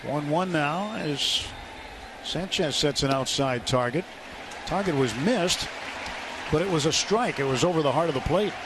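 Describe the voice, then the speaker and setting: A man with a fairly high voice calls a ballgame live on the radio, with clear stadium noise behind him. He speaks in measured, short phrases and leaves pauses between them. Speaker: play-by-play baseball announcer. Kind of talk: live radio sports commentary. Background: outdoor ballpark ambience.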